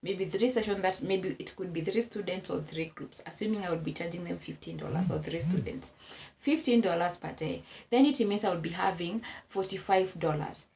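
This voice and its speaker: A woman's voice: lowish voice, moderate pace, creaky, darkish tone. A Kenyan woman vlogging casual advice to camera, repeating her points for emphasis and exclaiming as she goes.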